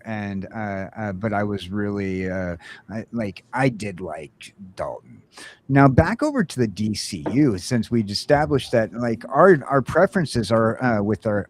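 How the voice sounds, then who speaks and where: A middle-aged man hosting a casual geek chat, rambling and haltingly repeating his words as he goes. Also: on the low side. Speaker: podcast host.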